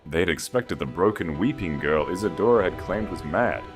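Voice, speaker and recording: A man's voice: low voice, animated, moderate pace, over music. A man reading dramatic scripted fantasy fiction as an audiobook narrator.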